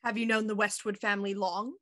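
A woman playing a cool, dryly skeptical police inspector in a radio mystery, asking measured, probing questions. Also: mid-range voice, flat delivery, a bit bright, close to an everyday voice. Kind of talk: radio mystery acting, live online table read.

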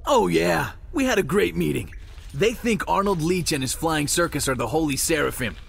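A man's voice: fairly high pitch, lively intonation, normal speed, gravelly, a bit bright, breathy edge, exaggerated voice. An actor playing an irreverent, wisecracking male soldier in a video game, sarcastic in delivery. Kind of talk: dramatic video game acting.

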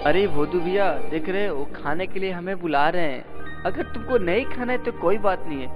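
Man as a high-voiced, excitable cartoon boy. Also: moderate pace, lightly acted. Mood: greedy, teasing. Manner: excitable, nagging, exclaiming. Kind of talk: Hindi cartoon voice acting. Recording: music behind the voice.

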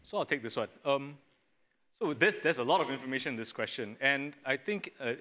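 A young man with a bright, crisp voice explains his view as a panel strategist. He talks fluently with small restarts, recorded on a lapel mic in a studio. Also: medium pitch, expressive, a little fast. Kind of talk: panel discussion answer. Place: studio stage set.

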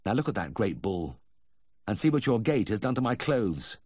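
Man playing an earnest country vet, lively intonation. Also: medium pitch, a little fast, breathy edge, no echo, clean studio sound, voice pushed up. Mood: quiet, reproving. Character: weary, firm male country vet, the memoir's hero.

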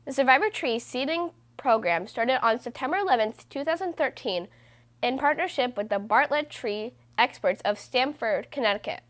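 A woman reading a children's book aloud as host, in a measured, deliberate way with stressed phrases. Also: a little high, fairly bright tone. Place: small room indoors.

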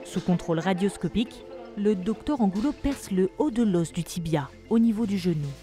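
A woman reading a scripted documentary voice-over in a measured, even way. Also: mid-range voice. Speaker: documentary narrator. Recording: voice-over with music behind. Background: music.

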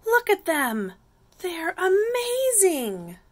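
Woman as little male storybook slug, eager, wide-eyed, high-pitched, very animated, exclaiming. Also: extremely slow, heavily acted. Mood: amazed. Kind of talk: children's picture book read-aloud.

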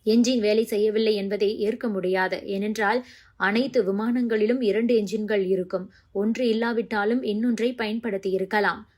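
A woman's voice: medium pitch, fairly even intonation, normal speed, a little mellow. A woman reading scripted news narration as a news narrator.

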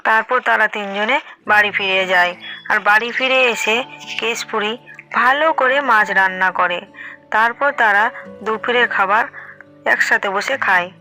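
A woman's voice: mid-range voice, normal speed, bright, forceful tone, faint music behind, rough recording. A woman narrating a fairy-tale cartoon in a scripted storytelling style.

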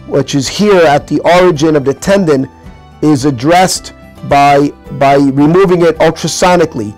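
A middle-aged man with a fairly high voice explains a procedure in a measured, patient way, often pausing with fillers and restarting words. He is seated at a desk in a small clinic office. Soft music plays faintly underneath. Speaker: orthopedic hand surgeon.

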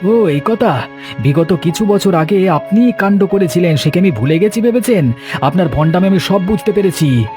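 A man's voice: sits high, normal speed, over music, lightly acted. A man voicing an indignant village man in a horror cartoon, hostile and accusing in his delivery. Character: indignant village man confronting an unwelcome visitor.

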